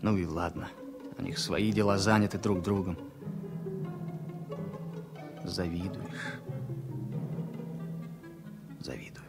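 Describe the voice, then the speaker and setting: An actor playing an anxious, self-pitying airline passenger in a radio play, talking in halting phrases. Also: male voice, sits low, fairly even intonation. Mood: brooding, anxious. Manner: halting, brooding. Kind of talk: radio play inner monologue. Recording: music bed behind 1970 radio play.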